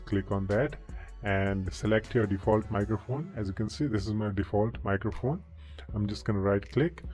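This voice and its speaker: A man giving a casual software tutorial, often tagging his sentences with okay. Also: on the low side. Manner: repeats okay as a tag. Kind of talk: casual screen-recorded tutorial. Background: background music.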